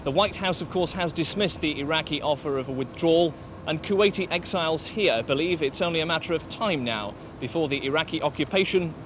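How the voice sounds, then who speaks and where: A man's voice: a little high, a little fast. A man giving a live television news report from the field. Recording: noisy live location feed.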